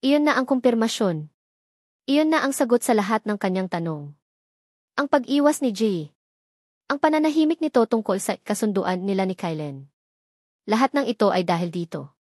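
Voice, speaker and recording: A woman's voice: medium pitch, animated, normal speed, pauses often, a little mellow, dry recording, clean studio sound. A woman with a Filipino accent reading a scripted romance story as a narrator, in a solemn, melodramatic manner.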